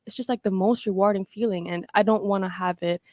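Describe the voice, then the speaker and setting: A woman's voice: medium pitch, steady tone, fast, dark tone, dry recording, studio quality. An eighteen-year-old woman from the Philippines and Norway, chatting casually as an interview guest in a slangy, laid-back way. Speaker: guest, singer and independent artist. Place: radio studio.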